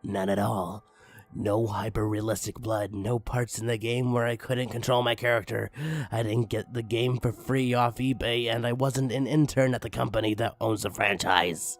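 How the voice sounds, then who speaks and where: A man's voice: medium pitch, fairly even intonation, normal speed. A man narrating a comedic story aloud in a theatrical, mock-serious way, with sudden emphatic swings. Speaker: narrator reading a parody creepypasta story aloud. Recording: music bed under the voice. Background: background music.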